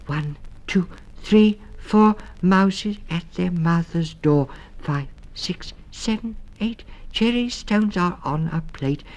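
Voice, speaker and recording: Man putting on a high, strict lady mouse schoolteacher. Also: darkish tone. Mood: singsong teaching. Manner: schoolmistressy, deliberate. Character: strict, impatient, weary lady town mouse teacher. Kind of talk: 1960s children's storybook record.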